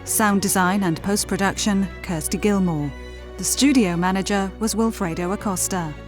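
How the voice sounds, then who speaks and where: A woman's voice: medium pitch, moderate pace, faint music behind. A woman announcer giving a scripted read of closing credits.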